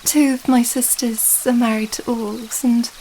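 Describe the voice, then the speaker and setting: Soft, high-voiced young princess acted by a woman. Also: level tone, measured pace, slight background noise, lightly acted, poor audio. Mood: reassuring, thoughtful. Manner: soft, hesitant, gently rambling. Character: young princess, earnest, affectionate, slightly flustered lover. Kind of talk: intimate ASMR romance roleplay.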